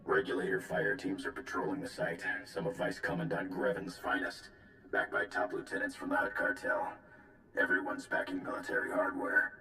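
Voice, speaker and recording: An actor playing a gruff, battle-weary military planner in a video game, gravelly and clipped as he briefs. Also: sits low, moderate pace, pushed and bright. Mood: grim, blunt. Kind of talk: video game cutscene acting. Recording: echoing sound, music underneath.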